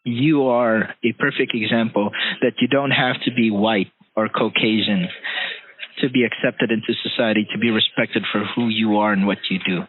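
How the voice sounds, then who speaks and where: A man's voice: on the low side, fairly even intonation, normal speed, husky. A man hosting an interview who talks earnestly and hesitantly, full of fillers. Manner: earnest, hesitant, many "you know" fillers. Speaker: podcast host. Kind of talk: interview conversation. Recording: tinny phone-line call.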